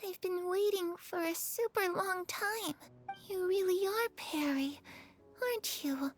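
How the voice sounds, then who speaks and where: A woman's voice: very high-pitched, unhurried, a little hiss or hum. An actress playing a sweet, trusting teenage girl in a game cutscene, talking in a candid, girlish, chatty way. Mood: curious, eager. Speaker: voice actor. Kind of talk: animated game dialogue. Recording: music behind the voice.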